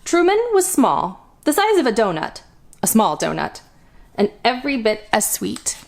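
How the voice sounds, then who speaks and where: A woman hosting a children's storytime, reading a picture book aloud in an expressive, deliberate storytelling manner. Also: fairly high pitch. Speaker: children's storytime host and reader.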